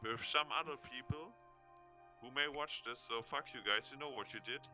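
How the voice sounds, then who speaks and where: A man's voice: mid-range voice, moderate pace, sharp tone, faint music behind, voice pushed up. A man voicing a wary, toothless female brothel keeper in a guarded, grumbling tone. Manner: raised put-on voice, guarded and grumbling.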